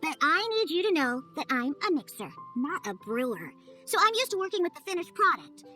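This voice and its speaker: A woman voicing a grumpy, proud young girl in a video game, huffy and reluctant but soft-hearted. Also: high voice, sing-song, fairly quick, faint music behind, full character voice. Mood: grudging. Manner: huffy, reluctant, self-important. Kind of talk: animated video game voice acting.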